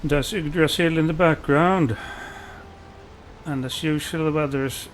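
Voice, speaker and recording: A calm, modest man with a Swedish accent, from Sweden, giving self-deprecating gameplay commentary as a let's play host. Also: a little high, a little slow, a little hiss or hum.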